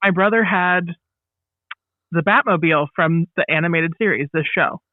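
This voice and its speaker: High voiced guy joking around on a casual podcast, sounds like a phone line. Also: normal speed, no room sound. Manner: joking, informal. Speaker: podcast co-host. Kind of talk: casual chat. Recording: tinny phone-like connection.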